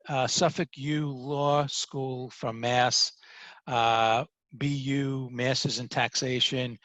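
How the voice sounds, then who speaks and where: A man's voice: mid-range voice, a bit bright, no echo, low-quality recording. A man with a Brooklyn accent hosting an interview, reading an introduction with many fillers. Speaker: host. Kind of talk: interview host.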